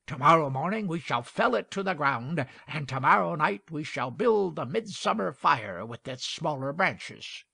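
A stubborn woodcutter acted by a man speaks gruffly and brusquely. He is scornful and dismissive, a rough storybook boss with a touch of gravel in a very dry recording. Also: on the high side, lively intonation, unhurried, sharp tone, no room sound, exaggerated voice. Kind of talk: storybook character acting.

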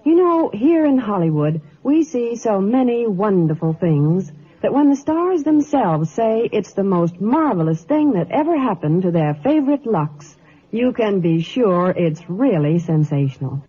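A woman presenting a gushing sponsor pitch in old-time radio style. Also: very animated, measured pace, mellow, husky. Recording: dull old radio recording, music behind.

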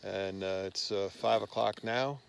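A man in his fifties narrating a casual outdoor vlog as a father, talking haltingly in short phrases with frequent pauses. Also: lowish voice, gravelly. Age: 50s. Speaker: host, father fishing with his sons. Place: outdoors on a frozen lake. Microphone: phone at arm's length. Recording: noisy outdoor phone recording.

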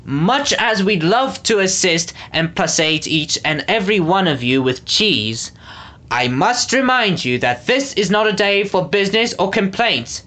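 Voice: medium pitch, big pitch swings, unhurried, pushed and bright, voice pushed up. A storybook reader voicing a pompous, dismissive red-haired lord with a thundering voice. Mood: grandiose.

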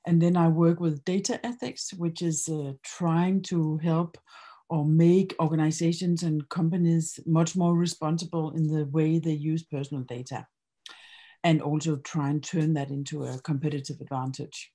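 A woman's voice: sits low, steady tone, normal speed, mellow. A middle-aged woman speaking as a panelist on a video call, earnest and fluent with fillers. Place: indoor home room. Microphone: video call webcam.

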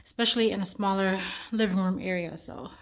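A woman's voice: medium pitch, brisk. A young woman chatting casually to her viewers while decorating her home, laughing at herself and calling them y'all. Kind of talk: casual home vlog chat. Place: bright home, living room and stairway.

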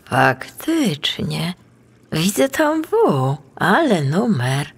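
A woman's voice: on the low side, sing-song, extremely slow, slightly rough, voice pushed up, exaggerated voice. A woman voicing a curious, easily amazed little boy on a tour in a children's audiobook.

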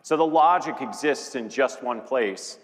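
A man's voice: mid-range voice, a little slow. A man in his fifties speaking as an executive in a conversational keynote, repeating words and phrases for emphasis. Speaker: chief executive co-presenting a keynote. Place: large conference stage with audience. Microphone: lapel mic. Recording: reverberant large hall sound.

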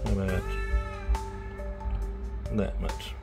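A very low-voiced man with a creaky, gravelly edge talks casually into a phone around his car. He rambles in an understated way, like a hobbyist thinking aloud as he works. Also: flat delivery, very slow, over loud music. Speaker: car audio hobbyist vlogger. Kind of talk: casual unscripted vlog commentary. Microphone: handheld phone.

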